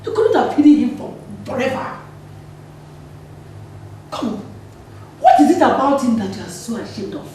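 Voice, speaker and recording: A woman's voice: fairly high pitch, very animated, normal speed, long pauses, warm tone. An actress with a Nigerian accent playing a bold, knowing house maid talking to her chief in a film drama. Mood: knowing challenge. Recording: echoey room, distant, background noise.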